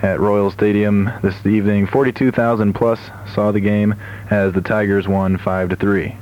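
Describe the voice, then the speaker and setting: A man's voice: on the low side, level tone, normal speed, highs cut off. A man working as a radio DJ, chatting between records in a studio, on an old AM aircheck recording. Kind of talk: radio music DJ chat. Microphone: studio broadcast microphone.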